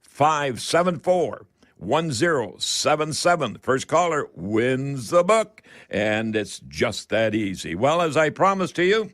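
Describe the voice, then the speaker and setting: A man's voice: medium pitch, big pitch swings, takes it slowly, fairly bright tone. Older man, Canadian accent, mid-range voice, folksy radio host, chatty, direct address to listeners. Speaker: radio call-in show host. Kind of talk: folksy home improvement radio hosting.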